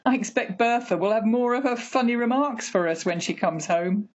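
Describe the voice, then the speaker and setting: A woman playing a fussy, chatty working-class mother in a radio play, homely and expressive. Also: on the high side, moderate pace, highs cut off, natural voice. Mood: curious, chatty.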